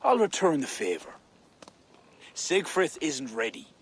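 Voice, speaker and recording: An actor playing a weary, proud warrior king in a video game, grave and measured. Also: on the high side, sing-song, a little hiss or hum. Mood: brooding resolve. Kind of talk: video game cutscene acting.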